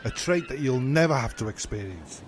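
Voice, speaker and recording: Male, vain and touchy island castaway played by a man, mid-pitched, sarcastic sweary comedy banter, faint noise behind. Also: sing-song, moderate pace, close to an everyday voice. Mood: scornful. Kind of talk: comic audio drama acting.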